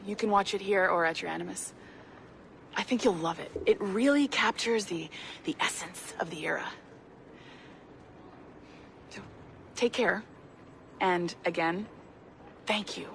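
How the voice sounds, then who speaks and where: A modern professional in a game scene. She is polite and upbeat, with a creaky mid-range voice and faint noise behind her. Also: medium pitch, a little slow, long pauses. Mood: polite, pleased. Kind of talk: video game cutscene acting.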